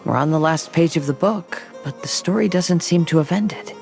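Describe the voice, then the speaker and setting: An actress voicing a calm, practical young woman adventurer, matter-of-fact in tone. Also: sits low, moderate pace. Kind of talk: game voice acting. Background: music.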